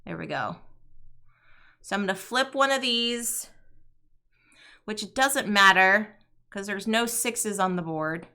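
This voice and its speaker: Woman streamer, chatty and unhurried, bright, smooth voice a touch raspy this morning. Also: mid-range voice, lively intonation. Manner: chatty, talks to chat viewers, sings playfully. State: slightly raspy before morning tea. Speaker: streamer playing a solo card game. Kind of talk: casual live-stream commentary.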